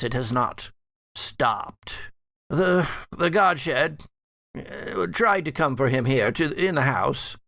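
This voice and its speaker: A man playing an undead elderly patriarch in a tabletop horror game, rambling and halting, wry and weary. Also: medium pitch, creaky, bright, forceful tone, no echo, clean studio sound, heavily acted. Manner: halting, rambling storyteller with musing asides.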